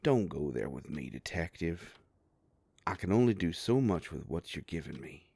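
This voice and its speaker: A man playing a sardonic, grumbling werewolf private investigator with a soft heart, in an audio roleplay drama. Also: big pitch swings, moderate pace, dry recording, exaggerated voice. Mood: exasperated, defensive. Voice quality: low gravelly voice.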